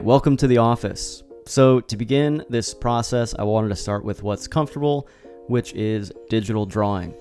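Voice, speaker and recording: A man's voice: on the low side, a little mellow. A man in his thirties with an American accent talking casually and enthusiastically to camera as an artist vlogger. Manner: upbeat, enthusiastic. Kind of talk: casual vlog talk to camera. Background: music.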